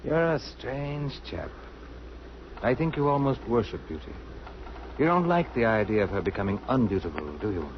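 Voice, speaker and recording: An actor playing a gentle, self-effacing island doctor in old-time radio drama style, courteous, soothing and a little hesitant. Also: male voice, medium pitch, lively intonation, moderate pace, lightly acted. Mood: wry, persuasive. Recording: muffled, noisy old radio recording.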